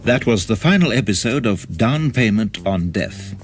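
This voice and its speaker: A man's voice: lowish voice, animated, moderate pace, faint music behind. A man reading scripted closing credits as a radio announcer.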